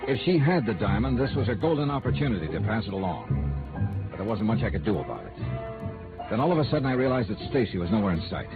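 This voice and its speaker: An actor playing a wry, hard-boiled insurance investigator, narrating in dry, clipped 1950s radio noir style. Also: male voice, lowish voice. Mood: tense, wary. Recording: tinny old radio recording with music.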